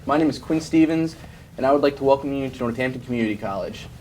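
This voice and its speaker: A man's voice: mid-range voice, fast talker, darkish tone, a little hiss or hum, low-quality recording. A young man moderating a student press conference, giving polite, formal introductions. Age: young adult.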